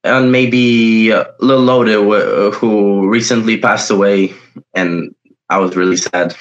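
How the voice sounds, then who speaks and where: A man's voice: fairly low pitch, fairly even intonation, takes it slowly, lo-fi sound. A young man whose first language is Polish, answering interview questions in a hesitant way and often searching for words. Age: young adult. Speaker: footballer guest.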